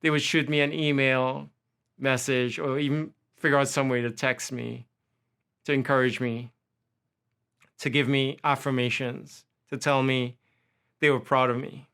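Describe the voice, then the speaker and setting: A man's voice: medium pitch, level tone, unhurried, gravelly, a bit bright, clear, resonant tone. A man with a Trinidadian accent giving a prepared lecture, impassioned and building through long lists. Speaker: university faculty lecturer.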